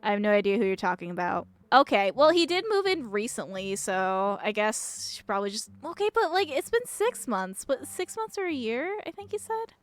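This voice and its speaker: A woman game streamer giving casual playthrough commentary and reading game text aloud, with playful, excitable reactions. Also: fairly high pitch, moderate pace, few pauses, no room sound.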